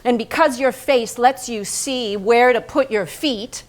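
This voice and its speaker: An actress playing a painter's bitterly devoted, reproachful wife in a theatrical staged reading, with intense, biting, incantatory delivery. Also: female voice, a little high, a little slow, lightly acted. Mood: tender, bitter.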